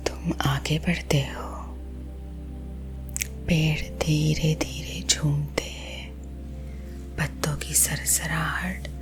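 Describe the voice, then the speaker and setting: A woman with an Indian accent guiding sleep hypnosis in a husky, breathy ASMR whisper, gentle and soothing. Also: deep voice, level tone. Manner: slow, soothing, gentle, hushed. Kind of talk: whispered ASMR guided visualisation. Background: soothing music.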